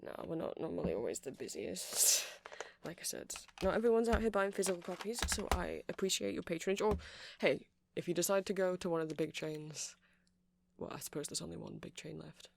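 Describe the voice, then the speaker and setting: A performer playing a friendly, nerdy vampire music shop keeper in an ASMR roleplay, rambling softly and apologetically to a customer with hesitant, casual speech. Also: medium pitch, very animated, fairly quick, vocal fry, background noise. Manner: soft, rambling, hesitant, self-deprecating. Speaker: store clerk.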